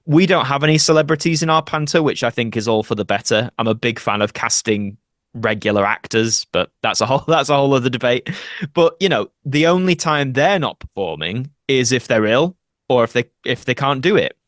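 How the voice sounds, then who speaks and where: A man's voice: mid-range voice, a little fast, fairly bright tone, no room sound, studio quality. A man, an actor, chatting casually as a podcast guest and explaining his thoughts in a conversational way. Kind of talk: casual interview chat.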